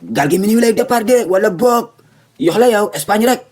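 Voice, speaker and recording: A man voicing a brash, impatient young Rastaman in a comic cartoon, slangy and pushy, with a Senegalese accent and a husky voice. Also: high-pitched, animated, moderate pace. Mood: impatient, cheeky.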